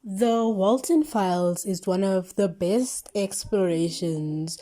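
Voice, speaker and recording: A woman's voice: mid-range voice, slow pace, mellow. A South African woman rambling casually through commentary in a playful, tongue-in-cheek, chatty way, with plenty of slang. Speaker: video creator listing favourite analog horror series.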